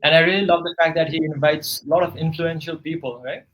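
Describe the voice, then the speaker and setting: A man's voice: on the high side, fairly even intonation, quick pace, highs cut off. A man working as an English tutor, patiently giving corrective grammar feedback in a one-on-one session.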